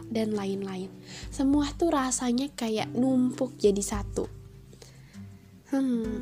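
Nasal-voiced young woman hosting a podcast. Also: fairly high pitch. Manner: friendly, chatty, encouraging. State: stuffy nose from a cold. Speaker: podcast host. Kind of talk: casual solo podcast talk.

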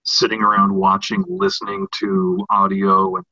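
A man co-hosting a casual podcast discussion about fiction, talking in a halting way with repeated and restarted phrases. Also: fairly low pitch, big pitch swings, pushed and bright. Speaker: podcast co-host. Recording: dull, roomy lo-fi sound.

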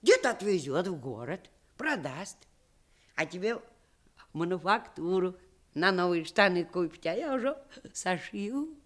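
A woman's voice: lowish voice, sing-song, measured pace. A woman playing a fond, bustling old village grandmother in a radio play, coaxing in folksy rural Russian dialect. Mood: fussing, coaxing.

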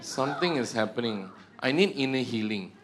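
A man's voice: medium pitch, animated, normal speed, a crowd in the background, background noise. A man with a Malaysian accent giving a humorous teaching talk to a church group, joking at his own expense and tagging sentences with "ah" and "huh". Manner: joking, self-mocking, tags sentences with "ah" and "huh".

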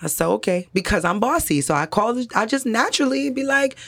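Hoarse deep-voiced woman telling stories. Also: brisk. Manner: blunt, animated, laughs often. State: hoarse from hookah smoke. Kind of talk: casual chat, explicit storytelling.

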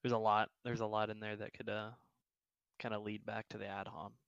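A man joining a casual podcast discussion, talking in a relaxed, hesitant way with many fillers. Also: lowish voice, fairly quick, clear, resonant tone, no echo, muffled recording. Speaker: podcast guest.

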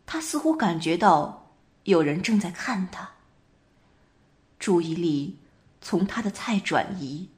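A woman plays a haunted dreamer in an amateur radio drama. She speaks slowly and gravely, with a hushed, dramatic delivery and a widely moving pitch. The telling feels detached, as if from inside a dream.

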